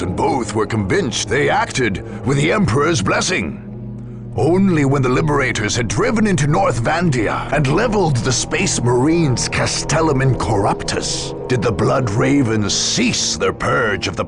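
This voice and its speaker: A man's voice: medium pitch, expressive, measured pace, gravelly, music in the background. A man delivering solemn, formal scripted narration for a video game story, in a dramatic storytelling manner.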